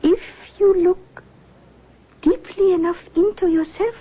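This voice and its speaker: An actress playing a solemn, mysterious female spirit medium in a radio drama, with halting, theatrical phrasing. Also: extremely high pitch. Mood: solemn, probing. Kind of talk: 1970s radio drama acting. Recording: muffled old radio recording.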